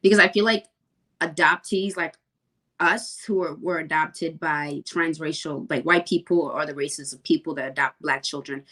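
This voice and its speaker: A woman's voice: low-pitched, fairly even intonation, moderate pace, sharp tone. A young adult woman hosting a podcast, enthusiastic and loose, with many fillers. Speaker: podcast host.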